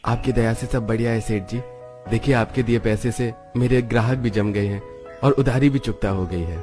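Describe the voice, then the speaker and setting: A man's voice: medium pitch, moderate pace, dark tone, soft background music, natural voice. A man voicing a hardworking, anxious and submissive young pizza vendor in a cartoon story. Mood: polite, resigned.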